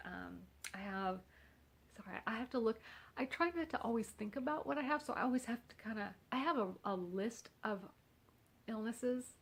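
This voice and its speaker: A woman's voice: medium pitch, gravelly. A woman vlogging casually at home, nasal from congestion, rambling along with a wry, self-mocking humor. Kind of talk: casual chat.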